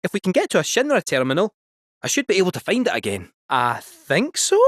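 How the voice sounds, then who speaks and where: A man's voice: high voice, huge pitch swings, a little fast, a bit bright, no echo, clean studio sound, heavily acted. A man voicing a chatty male robot cat fortune-teller with a Scottish accent, cheeky and folksy, full of rhyming quips. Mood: wry chatter. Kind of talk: video game voice acting.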